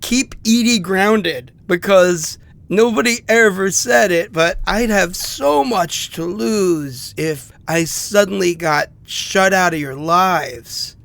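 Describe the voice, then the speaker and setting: An actor playing a bitter, wounded ex-convict in an audio drama monologue, halting and wry as he confides. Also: high voice, creaky, a bit bright, full character voice. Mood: bitter, accusing. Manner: halting, wry, muttering asides with chuckles.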